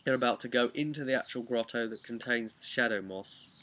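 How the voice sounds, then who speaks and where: A man's voice: mid-range voice, a little fast, bright, forceful tone. A man chattily recapping a tabletop game as its game master, slipping into casual storytelling, with some background noise.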